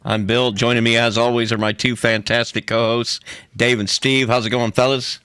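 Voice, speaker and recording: A man hosts a loose, comedic podcast. His voice is bright and forceful, recorded close and dry, with a crude, joking way of bantering. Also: medium pitch, flat delivery, moderate pace, clean tone, no room sound. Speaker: podcast co-host.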